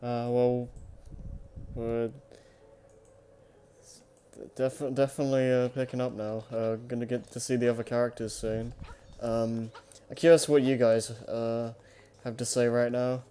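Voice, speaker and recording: Slow, mellow, halting man. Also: mid-range voice, level tone, slight background noise. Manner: hesitant, halting, searching for words. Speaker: podcast host leading discussion. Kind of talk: casual chat.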